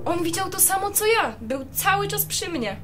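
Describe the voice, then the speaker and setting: A woman's voice: very high voice, sing-song, normal speed, music in the background. A woman playing a bitter, indignant long-married wife in a radio play, dramatic and affronted. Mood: evasive. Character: indignant, bitter wife of twenty years, a suspect. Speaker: amateur radio drama actor.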